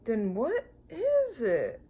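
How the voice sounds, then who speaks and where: A woman voicing an earnest, eager little toy bear from a storybook, easily startled, with wide-eyed, drawn-out exclamations. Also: high voice, wildly swooping pitch, mellow, slightly rough, voice pushed up, exaggerated voice. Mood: puzzled.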